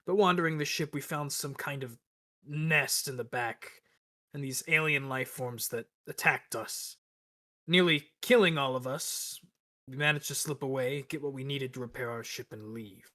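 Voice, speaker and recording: A man's voice: mid-range voice, moderate pace, pushed and bright. A man playing a tabletop roleplaying game, talking casually in a joking, self-mocking way. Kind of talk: casual banter and loose in-character recap.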